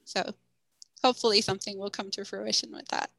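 Woman, interview guest, school counselor, friendly and thoughtful, bright voice, dry close recording. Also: medium pitch, flat delivery, a little fast, gravelly, dry recording. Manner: friendly, thoughtful, frequent ums, light laughs. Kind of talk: casual interview answers.

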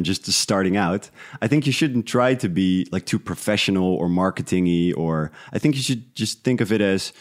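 A man from the Netherlands who grew up speaking talks in a fairly low voice. He is a guest in a relaxed interview, thinking aloud with some hesitation as he explains himself. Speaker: interview guest, independent podcast creator. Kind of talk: casual interview conversation.

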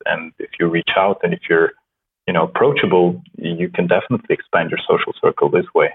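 A man with a Slovenian accent, a startup co-founder chatting in a soft-spoken, halting way with many restarts. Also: sits low, fairly even intonation, vocal fry, slightly rough. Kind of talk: casual conversation. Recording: tinny phone-like line.

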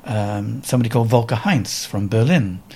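A man around seventy with a Welsh accent, a photographer telling stories in an interview in a reflective, halting way with many pauses and fillers. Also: on the low side, a little mellow, husky. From Wales. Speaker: photographer guest.

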